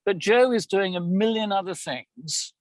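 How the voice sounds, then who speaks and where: Higher-voiced man, effusive and dry-sounding. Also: a little slow, dry recording. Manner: effusive, repeats words as he goes. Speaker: lead voice actor of an audio theater show, podcast guest. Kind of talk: casual interview chat. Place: moving car, as a passenger.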